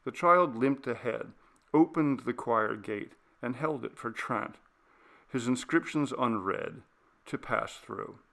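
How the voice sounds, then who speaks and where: Slow, gravelly male storyteller. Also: medium pitch, fairly bright tone. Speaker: audiobook narrator. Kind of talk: scripted literary narration.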